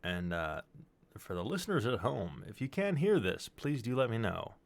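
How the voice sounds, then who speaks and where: A man's voice: fairly low pitch, sing-song, normal speed, creaky, dry recording. A man co-hosting a stream in casual, conversational chat. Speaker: stream co-host.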